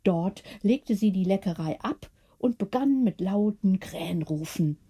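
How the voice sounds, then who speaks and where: A woman's voice: medium pitch, animated, a little slow, dark tone, slightly rough. A woman reading a bedtime story as a scripted audiobook narration.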